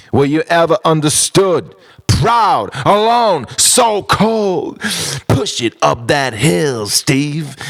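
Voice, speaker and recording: A man's voice: medium pitch, vocal fry, no room sound. Wildly dynamic man performing spoken word. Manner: dramatic, swinging between hushed whispers and shouted outbursts; short, deliberate phrases with heavy pauses. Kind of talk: theatrical spoken word poetry monologue.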